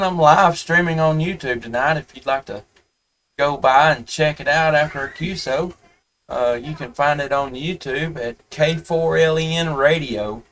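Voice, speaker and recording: A man with a Southern US accent chatting casually as a ham radio operator, in a folksy, halting way. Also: on the high side, a bit bright, distant mic, low-quality recording. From Tennessee, United States. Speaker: amateur radio operator, live streamer.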